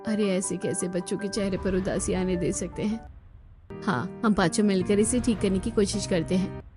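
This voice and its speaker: A woman voicing a hard-pressed, caring young village mother in a cartoon, earnest and expressive. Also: medium pitch, moderate pace, close to an everyday voice. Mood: encouraging. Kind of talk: Hindi cartoon voice acting. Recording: music under the voice.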